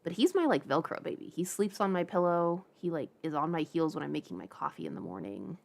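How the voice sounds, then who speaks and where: Female streamer, slightly low voice, informal and playful, talking off the cuff to viewers. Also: sing-song, brisk, vocal fry. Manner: playful, informal, chatty asides. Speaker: livestream host. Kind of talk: casual livestream chat.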